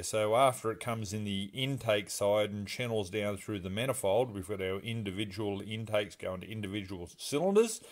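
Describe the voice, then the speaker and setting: Relaxed man in his garage. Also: fairly low pitch. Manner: relaxed, rambling, asks viewers for advice. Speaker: car restoration vlogger. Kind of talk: casual workshop walkthrough. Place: home garage workshop.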